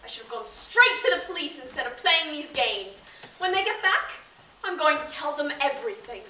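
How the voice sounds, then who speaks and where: A woman's voice: high-pitched, sing-song, moderate pace, sharp tone, a little hiss or hum, full character voice. A woman playing a genteel young heiress with a well-bred, dramatic manner, recorded far from the mic in an echoey room.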